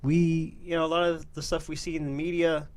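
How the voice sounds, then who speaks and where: A man's voice: on the high side, little pitch movement, brisk. Earnest man hosting a streamed opinion show. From New York City. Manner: earnest, emphatic, with fillers and restarts. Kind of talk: casual monologue, reading memes.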